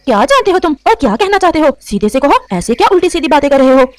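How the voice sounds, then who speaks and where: A woman's voice: high voice, sing-song, fast, soft background music. A woman voicing a defiant, fiercely protective mother crow in a melodramatic cartoon story. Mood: feigning, defensive. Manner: sharp, defensive, doting toward her child.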